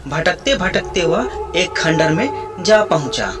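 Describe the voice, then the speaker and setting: A man's voice: high voice, very animated, measured pace. A man reading scripted narration for a children's story. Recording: echoey, distant, music behind.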